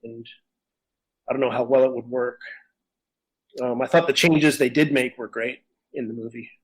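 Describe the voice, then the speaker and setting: A man's voice: mid-range voice, little pitch movement, moderate pace, slightly rough, rough recording. A man co-hosting a podcast, talking casually in a rambling, opinionated way. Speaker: podcast co-host. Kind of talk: casual conversational discussion.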